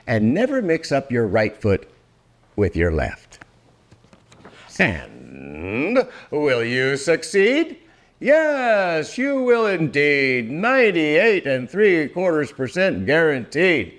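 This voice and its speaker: An older man reading a rhyming children's book aloud in a theatrical, deliberate way, drawing out words for effect. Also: fairly high pitch, wildly swooping pitch. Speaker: storybook reader.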